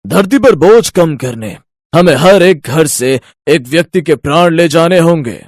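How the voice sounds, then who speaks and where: A man's voice: a little high, lively intonation, measured pace, no room sound, clean studio sound. A man voicing a stern, formal god of death who gives patient but insistent commands in a moral story. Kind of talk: animated Hindi moral-story voice acting.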